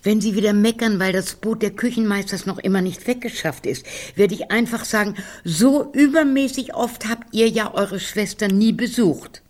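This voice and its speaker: An actress playing a fretful, defensive elderly woman in a German radio drama, plain-spoken and homely, with a breathy, husky voice. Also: medium pitch, moderate pace, hardly any pauses, a little mellow, close to an everyday voice. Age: older.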